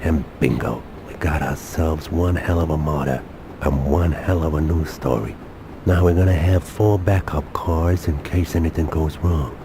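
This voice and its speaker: A commanding crime lord for a video game. His voice is extremely deep, measured and full of authority. Noticeable ambience runs behind it. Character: commanding, menacing male crime boss. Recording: game cutscene with noisy ambience.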